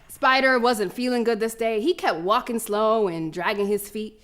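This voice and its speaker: A woman's voice: fairly high pitch, fairly bright tone. A woman playing a fierce, proud young girl runner, telling her story as a brash, streetwise dramatic monologue. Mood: tender, hurt. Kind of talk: dramatic first-person monologue.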